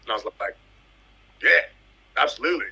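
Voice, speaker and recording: A man in his thirties from the US Virgin Islands tells his football story in a relaxed interview. His voice is very bright and edged with husk, and he leans on repetition for emphasis. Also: mid-range voice, fairly quick, vocal fry, breathy edge, low-quality recording. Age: 30s. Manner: animated, emphatic, repeats phrases for effect. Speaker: footballer guest.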